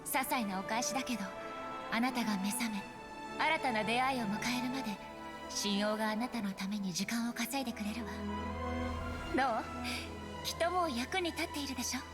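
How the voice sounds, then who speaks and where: Woman acting serene gentle anime game heroine, highish voice, wistful farewell, strong music behind. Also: rapid-fire, long pauses, close to an everyday voice. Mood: tender, wistful. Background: prominent game music.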